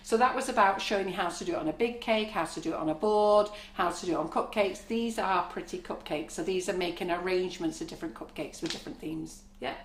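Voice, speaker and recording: Older woman, calm chatty baking tutor, echoey kitchen, bit of background hiss. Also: fairly low pitch, fast, few pauses. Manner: chatty, relaxed, soothing teaching manner. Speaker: cake decorating teacher. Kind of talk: live baking class demonstration. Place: home kitchen. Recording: echoey kitchen, faint noise.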